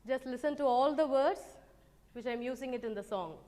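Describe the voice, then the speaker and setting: Woman teacher, very high voice, unhurried, reverberant room. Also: darkish tone. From South India. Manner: slow, careful teacherly explaining, sings simple rhymes. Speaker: English teacher. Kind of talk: online grammar lesson for children.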